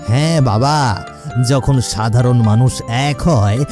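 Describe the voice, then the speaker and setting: A man's voice: mid-range voice, unhurried, over music, close to an everyday voice. A man playing an earnest, emotional elderly village uncle in a cartoon, speaking in an emphatic, moralising way. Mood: proud, hopeful. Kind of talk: cartoon acting.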